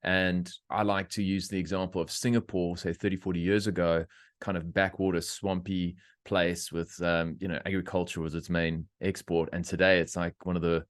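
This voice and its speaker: A middle-aged man from South Africa hosting a podcast, talking in opinionated, animated rants with laughter. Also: low voice, normal speed, no room sound, clean studio sound. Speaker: podcast host. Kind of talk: casual interview chat.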